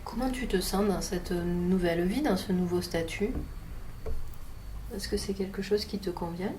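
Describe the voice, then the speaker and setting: Patient woman hypnotherapist, mellow tone. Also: lowish voice, normal speed, slight background noise. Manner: gentle, patient questioning, echoes the client's answers. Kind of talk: guiding a hypnosis session with questions.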